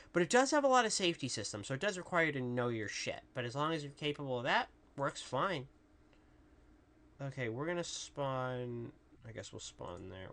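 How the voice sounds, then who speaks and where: A woman with a really deep voice, casually commentating a live game stream and chatting with viewers in a rambling, profane way. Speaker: VTuber streamer.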